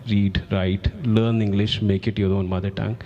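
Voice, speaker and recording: A man's voice: fairly low pitch, level tone, normal speed, dark tone, dull audio. A man whose first language is Hindi, a journalist on a festival panel earnestly urging young reporters. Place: festival hall. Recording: long hall reverb.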